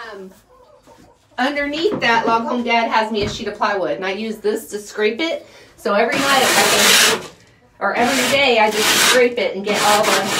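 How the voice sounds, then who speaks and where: Chatty middle-aged woman, roomy noisy recording. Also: a little high. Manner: folksy, chatty, rambling while working. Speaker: homestead vlogger and host. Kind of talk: casual chat to viewers during chores. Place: small wooden chicken coop. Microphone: phone set up a few feet away. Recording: roomy, distant mic with clear background noise.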